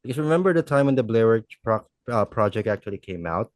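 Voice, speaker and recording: A man's voice: on the low side, expressive, fast, no echo. Wry man in a dry, close recording. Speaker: podcast co-host. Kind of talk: casual podcast banter.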